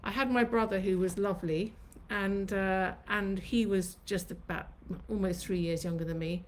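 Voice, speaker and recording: A woman in her fifties, originally from Sri Lanka, talking on a live stream from her home kitchen. She reminisces at length in a chatty, reflective way, recalling her childhood and early years. Her pitch sits in the middle range for a woman. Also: steady tone, moderate pace, slight background noise. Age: 50s. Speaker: host of her own channel. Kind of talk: live-streamed personal storytelling.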